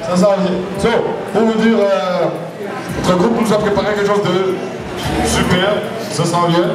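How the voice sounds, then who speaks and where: A man's voice: high-pitched, level tone, measured pace. A young man, a Kinyarwanda speaker, emceeing a stage show and joking with the audience, mixing in English words. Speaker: master of ceremonies. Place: large hall on stage before a crowd. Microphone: handheld mic heard from far. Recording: echoey hall recorded from far off with loud crowd and music.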